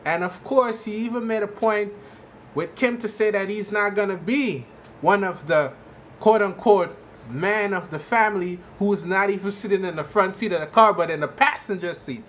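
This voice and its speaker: Male fan vlogger, slightly high-pitched, rambling and halting casual commentary, some noise in the recording. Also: fairly bright tone. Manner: halting, repetitive, frequently says "you know". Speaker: fan vlogger giving a TV show reaction. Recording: clearly noisy amateur recording.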